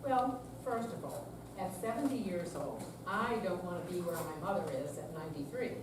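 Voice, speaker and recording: Heard from a distance in a very reverberant room with steady noise, this is an actress playing a seventy-year-old woman in a staged reading. She is earnest and confiding, weary yet resolute, and speaks in a deliberate, scripted way. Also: on the low side, natural voice. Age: older. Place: senior center room, live performance. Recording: echoey room, distant mic, clear background noise.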